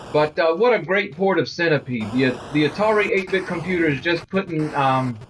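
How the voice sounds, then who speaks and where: Middle-aged male stream host, loud and talkative, slightly high voice. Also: unhurried, a little hiss or hum, rough recording. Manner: loud, chatty, talks to viewers. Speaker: livestream host. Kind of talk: casual gaming livestream chat.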